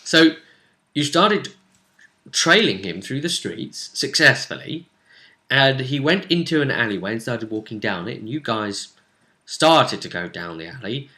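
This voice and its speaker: A man with a bright, forward voice retells a tabletop adventure as game master. His delivery is casual but animated, with pitch that moves around a lot as he adds little asides. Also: unhurried. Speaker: game master recapping a tabletop role-play session. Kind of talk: casual recap chat.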